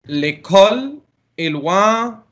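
A man's voice: high-pitched, expressive, drawn-out words, fairly bright tone, muffled recording. A middle-aged man teaching a French class over a video call, calling on students and patiently correcting their answers. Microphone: laptop mic on a video call.

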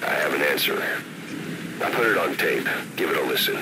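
Deep, grave military commander on radio. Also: male voice, lively intonation, a little fast, noisy background. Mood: concerned, businesslike. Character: gruff military second-in-command briefing his boss. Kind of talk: dramatic game cutscene radio briefing.

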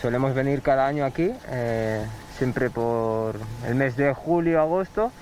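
A man's voice: mid-range voice, unhurried, darkish tone, a little hiss or hum. A young man from Barcelona, a yearly visitor, chatting and laughing easily in an outdoor street interview. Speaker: visiting tourist.

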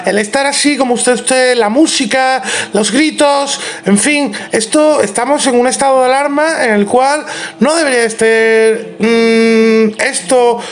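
A man playing a prissy, indignant neighbour on a prank call, halting and stiffly formal. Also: extremely high pitch. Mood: prissy indignation. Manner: halting, repetitive, stilted formality. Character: prissy, indignant, fussy neighbour complaining by phone. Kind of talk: improvised prank phone call.

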